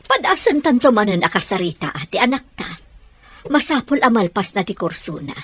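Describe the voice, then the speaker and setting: An actress playing a caring middle-aged aunt in a radio drama, speaking in a kindly and reassuring way. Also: female voice, medium pitch, sing-song, brisk, husky.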